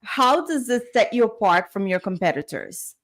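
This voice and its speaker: A woman's voice: medium pitch, animated, moderate pace. A woman hosting a conversational interview. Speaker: interview host.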